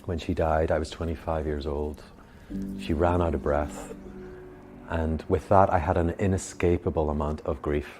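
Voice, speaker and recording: A man with a Belfast accent, a meditation teacher, speaking slowly and with long pauses. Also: a bass voice, flat delivery, over music. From Northern Ireland. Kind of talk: reflective personal account.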